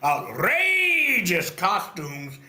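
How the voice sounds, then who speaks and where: A man's voice: sits high, wildly swooping pitch, extremely slow, pushed and bright. An older man giving casual, blunt and enthusiastic spoken critique on an online meeting. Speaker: script feedback participant. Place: indoors on an online meeting call. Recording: roomy echoing online meeting audio.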